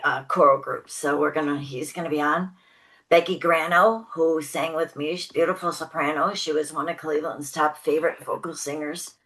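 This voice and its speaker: A woman's voice: deep voice, low-quality recording. A woman singer and choir leader, a guest chatting casually and enthusiastically in an interview. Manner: chatty, upbeat, warmly enthusiastic about people.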